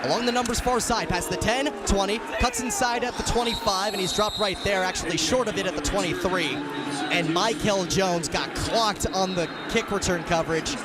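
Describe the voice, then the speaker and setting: High-pitched man calling sports play-by-play. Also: heavy background noise. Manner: clipped running description, bursts into excited calls. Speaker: radio play-by-play announcer.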